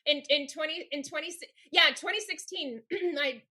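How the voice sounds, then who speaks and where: A woman with a high, bright voice telling stories as a podcast guest. She rambles with plenty of fillers and laughs easily in a relaxed chat. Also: moderate pace. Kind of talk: casual storytelling chat.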